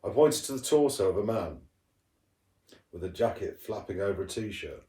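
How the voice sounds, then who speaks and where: Echoey, low-voiced man reading a story aloud. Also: lively intonation, moderate pace, dark tone. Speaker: primary school teacher reading to pupils. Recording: roomy echo, distant from the mic.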